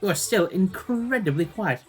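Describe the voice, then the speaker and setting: A woman's voice: lowish voice, animated, normal speed, soft background music. A woman running a tabletop game as game master, narrating in a playful, theatrical way.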